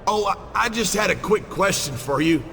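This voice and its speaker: A man's voice: sits high, lively intonation, moderate pace, vocal fry, pushed and bright, roomy sound, faint music behind, full character voice. A man playing a smug, boastful rich wrestling champion in a video game, talking in a folksy, pompous, mock-modest way. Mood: smug, insinuating. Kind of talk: video game cutscene acting.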